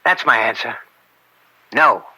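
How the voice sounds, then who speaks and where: An actor playing an older judge in an old-time radio drama, upright and weary, speaking deliberately with a gravelly, dryly sardonic edge. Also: male voice, medium pitch, lively intonation, bright, forceful tone, dry recording, exaggerated voice, low-quality recording. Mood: indignant, resolute. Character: upright, weary veteran judge and father, proud and stubborn.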